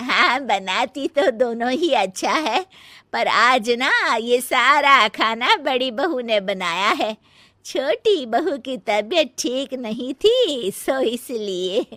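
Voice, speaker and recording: A woman's voice: a little high, lively intonation, slow, bright, forceful tone, no room sound, voice pushed down, heavily acted. A woman voicing a stern older mother-in-law in a cartoon story, giving orders in a commanding way. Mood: explaining. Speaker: voice actor. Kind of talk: cartoon voice acting.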